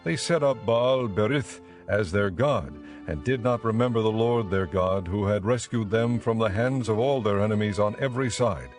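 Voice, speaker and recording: Low-voiced male scripture narrator. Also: normal speed, hardly any pauses, soft background music.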